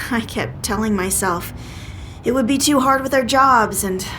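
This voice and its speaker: A woman's voice: fairly high pitch, measured pace, bright, forceful tone. Wry, loyal woman deputy, noisy ambience. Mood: wistful. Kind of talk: full-cast audio drama dialogue.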